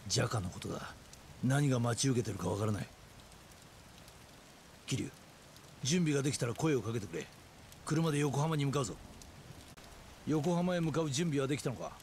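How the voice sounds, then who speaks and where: An actor playing a serious, practical ally in a video game, speaking in a gruff, decisive way. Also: male voice, medium pitch, expressive, fairly quick, pauses often, a little mellow, natural voice. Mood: concerned, resolute. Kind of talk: dramatic video game acting. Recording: faint rain noise behind.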